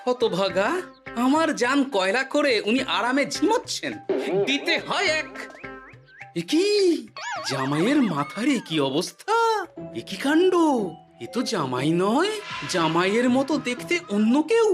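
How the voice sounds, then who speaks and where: A woman's voice: on the high side, sing-song, a little slow. A woman voicing a put-upon, canny older father-in-law in a cartoon, grumbling and indignant, with music behind. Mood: grumbling, shocked. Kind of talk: cartoon voice acting.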